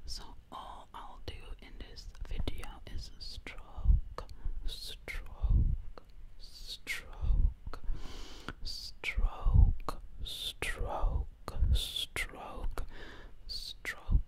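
Very slow, breathy whispering woman. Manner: very slow, lingering word repetition. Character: sweetened zombie princess from the 1920s. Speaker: ASMR creator. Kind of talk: ASMR role-play with repeated words and rambling. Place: dim living room, indoors. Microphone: close-up ear-to-ear microphone.

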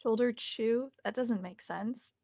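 A woman's voice: on the high side, takes it slowly, gravelly, mellow, dry recording. A young woman from Canada chatting playfully as an interview guest, giggly and musing aloud, from a home studio. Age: young adult. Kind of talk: casual playful chat. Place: indoor home studio, on a video call.

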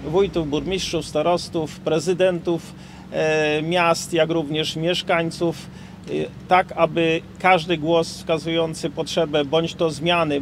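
A young man, a road agency official, giving a precise, bureaucratic briefing into press microphones in an outdoor square. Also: a little high. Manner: bureaucratic, precise, some fillers. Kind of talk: formal technical briefing. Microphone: cluster of press microphones on stands. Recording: light outdoor traffic noise.

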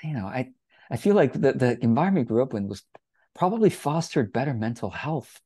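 A middle-aged man hosting a casual interview on a video call, talking with warmth and enthusiasm from a room at home through an earphone mic. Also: medium pitch, expressive, fairly quick, slightly rough, no echo, clean studio sound. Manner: warm, enthusiastic, gestures a lot while talking. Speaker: host.

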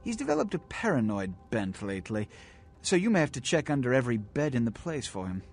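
Male video game role: aristocratic vampire schemer, condescending, lively intonation, faint music underneath. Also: medium pitch, fairly quick. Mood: haughty, weary. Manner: pompous, ornate, condescending phrasing. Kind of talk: scripted video game acting. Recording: music behind.

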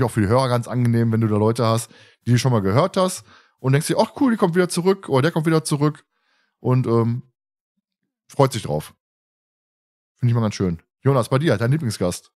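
A man's voice: mid-range voice, animated, fairly quick, long pauses, darkish tone. A man hosting a casual fan podcast, talking in a rambling way and often repeating words and phrases. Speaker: podcast host.

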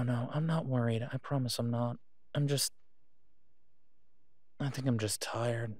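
A tired, protective werewolf played by a man talks in an intimate audio drama. His voice sits at a middle pitch and comes through dry and close. He speaks haltingly and reassuringly, devoted but on edge. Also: a little mellow, no echo, lightly acted. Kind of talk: intimate roleplay audio drama, one side of a phone call.

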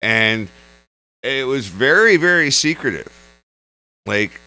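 A man's voice: medium pitch, animated, slow, pushed and bright, low-quality recording. A man co-hosting a podcast from a room at home, blunt, slangy and joking in off-the-cuff chat. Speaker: podcast co-host.

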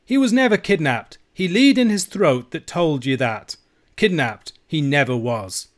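Man playing a whining old Scottish miser, high-pitched. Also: expressive, normal speed, natural voice. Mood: indignant, panicked. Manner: wailing, snarling protests. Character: miserly old Scottish uncle, whining, cowardly, self-righteous. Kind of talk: audiobook character voice.

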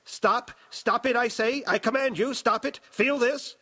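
An old autocratic baron's spirit performed by a male narrator for a dramatic audiobook. The voice is querulous and imperious, pushed high and loud as it commands and rages. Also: animated, normal speed, full character voice. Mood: raging, desperate. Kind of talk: dramatic audiobook character acting.

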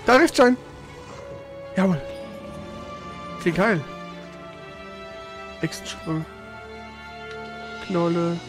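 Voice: medium pitch, lively intonation, very slow, long pauses, music in the background. A gamer giving casual let's play commentary, grumbling and swearing in a slangy way.

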